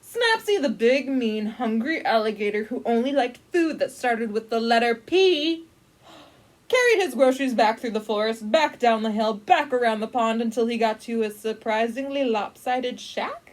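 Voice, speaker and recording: A woman voicing a pompous, melodramatic grand lady who narrates a storybook with haughty, drawn-out drama. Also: on the high side, animated, close to an everyday voice. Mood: grandly ominous.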